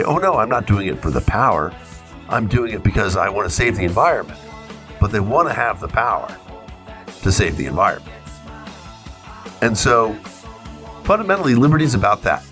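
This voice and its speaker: Male radio host, very low voice, opinionated talk, emphatic repetition, music behind. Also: fairly bright tone.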